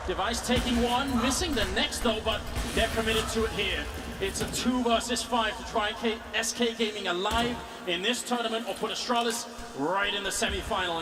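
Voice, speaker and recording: A man commentating a live esports match, energetic and rising to shouts at the big plays, heard on an arena broadcast. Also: sits high, recorded from a distance. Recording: arena broadcast with loud crowd and music.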